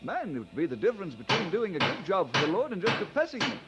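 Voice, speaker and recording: An actor playing an earnest, good-humoured young preacher in an audio drama. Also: male voice, sits high, big pitch swings, fast talker, very noisy. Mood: earnest, delighted.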